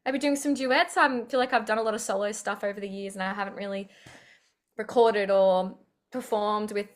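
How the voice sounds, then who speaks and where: A woman's voice: mid-range voice, fairly even intonation, moderate pace. A young woman chatting in an interview over a video call, upbeat and full of fillers. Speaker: singer-songwriter guest. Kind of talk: casual interview chat.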